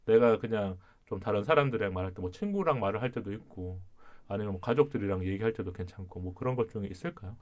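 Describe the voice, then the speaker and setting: A man hosting a casual advice talk show, speaking calmly and thoughtfully, with a regional Korean dialect and pauses in the middle of his sentences. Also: on the low side. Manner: calm, reflective, pauses mid-sentence. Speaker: podcast host.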